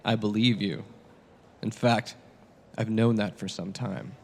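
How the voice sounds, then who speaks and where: A man playing a calm, patient divine teacher in a satirical dramatic reading, speaking in measured, plain questions. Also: on the low side, gravelly, big-room sound, slight background noise.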